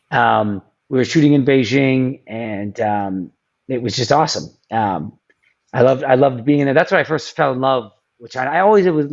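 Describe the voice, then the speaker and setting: A man's voice: mid-range voice, vocal fry, dull audio. A man as a podcast guest, chatting casually with many ums and restarts. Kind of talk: casual interview chat.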